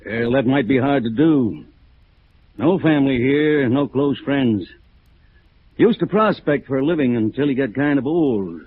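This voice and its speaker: An actor playing an older small-town sheriff in a 1950s radio drama, husky and folksy, hesitant and quietly menacing. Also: male voice, medium pitch, animated, dry recording. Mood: folksy, veiled warning. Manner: hesitant, folksy, drawn-out understatement. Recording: narrow, tinny old radio recording.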